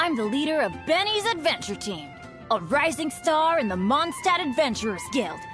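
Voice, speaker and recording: Woman acting as an eager, high-voiced boy adventurer. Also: big pitch swings, normal speed. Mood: cheerful. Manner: eager, boyish, earnest. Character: upbeat, unlucky teenage boy adventurer. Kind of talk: video game character voice lines. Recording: studio voice over background music.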